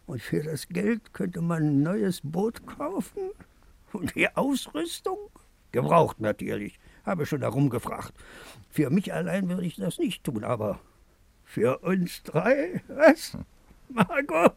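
Creaky, wistful old townsman. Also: high voice, big pitch swings, dry recording, exaggerated voice. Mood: pleading, hopeful. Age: older. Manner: halting, wistful, coaxing. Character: frail, pleading old man of a port town, lame. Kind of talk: 1950s German radio play acting.

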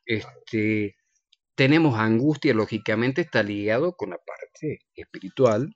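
A man's voice: lowish voice, a little slow, no room sound. An Argentine man presenting a radio show in a conversational way, with playful, teasing banter. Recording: dull, lo-fi radio recording.

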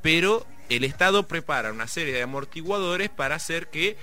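A man's voice: fairly high pitch, lively intonation, brisk, sharp tone, faint music behind. A middle-aged man with a Rioplatense Argentine accent explaining economics in casual conversation, rambling with many fillers and restarts. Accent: Rioplatense Spanish.

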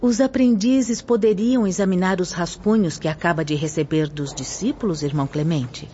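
A woman's voice: lowish voice, normal speed, mellow, slight background noise, close to an everyday voice. An actress playing a composed female spirit guide in a radio drama, explaining things formally. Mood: courteous. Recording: dull lo-fi audio. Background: background music.

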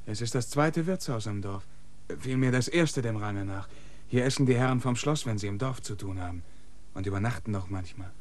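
Ein Schauspieler spielt in einem Hörspiel einen bescheidenen Boten, der ruhig und höflich spricht. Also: male voice, fairly low pitch, moderate pace, close to an everyday voice. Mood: calm polite. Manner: calm, polite, modest. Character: humble young male messenger, polite and modest. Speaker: messenger character. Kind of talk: radio play acting.

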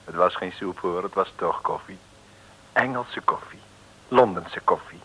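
An actor playing a wry, deadpan male narrator, reading mock-solemn scripted narration in a 1950s radio comedy. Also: low-pitched, measured pace, husky, full character voice. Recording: old, slightly noisy radio recording.

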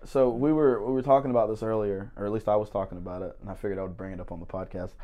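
A man's voice: fairly low pitch, fairly even intonation, rapid-fire, mellow, lo-fi sound. Man, 20s, podcast co-host, jokey, laughs a lot. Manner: joking, self-mocking, laughs often. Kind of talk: casual podcast banter.

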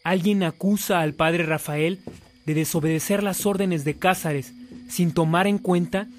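An actor narrating a saint's life in a radio drama as a measured storyteller. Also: male voice, sits high, fairly even intonation, soft background music.

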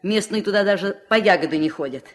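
A woman playing an anxious, soft-hearted old village grandmother who scolds and pleads in folk speech, in a 1970s Soviet radio drama. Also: mid-range voice, fast talker, close to an everyday voice. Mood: worried. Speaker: actress in a radio play.

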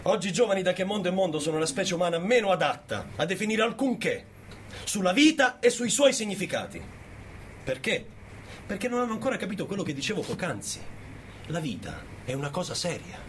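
An actor playing a pompous, self-righteous conservative business owner, sententious and rhetorical, in a satirical theatre monologue. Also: male voice, sits high, sing-song, brisk, slight background noise. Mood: sententious, solemn.

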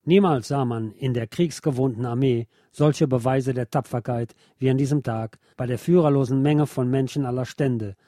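A man reading historical accounts as scripted radio narration in a measured, literary manner. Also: mid-range voice, dark tone, no echo, studio quality.

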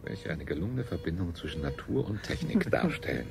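Very deep, pompous colony scientist. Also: male voice, very animated, fairly quick, gravelly, heavily acted. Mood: pompous, reverent. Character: male colony scientist, reverent and self-satisfied about breeding. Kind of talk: stylised radio play acting. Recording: radio drama with prominent music.

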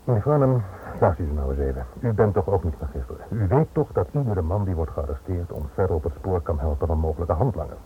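Through a thin, noisy old radio recording comes a Dutch police inspector with a low voice. He speaks formally and measuredly, polite on the surface while insistently warning. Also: animated, fast, few pauses, narrow, tinny audio. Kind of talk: radio play acting.

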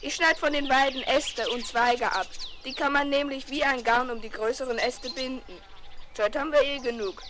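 A woman's voice: sits high, fairly even intonation, moderate pace, fairly bright tone. A woman playing a blunt, rustic young goatherd boy with a southern German accent in a 1970s children's radio play. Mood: eager, practical. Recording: noisy 1970s vinyl record.

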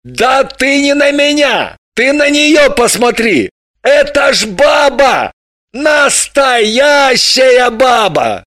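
A woman voicing a dazed, mournful drunken man who speaks slowly. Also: high voice, very animated, a bit bright, dry recording, shouting, studio quality, voice pushed up, heavily acted. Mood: insistent.

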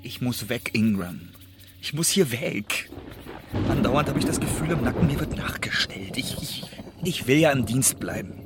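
An actor in a radio play playing a restless, hunted spy and would-be playwright, agitated and pleading in a theatrical way. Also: male voice, medium pitch, expressive, normal speed, background noise, natural voice. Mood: anxious, pleading.

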